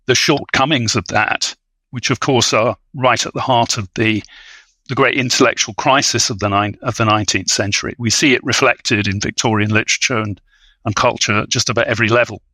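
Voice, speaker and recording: A man speaking as an expert podcast guest in a halting, scholarly style of academic discussion. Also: mid-range voice, bright, forceful tone, no room sound, poor audio.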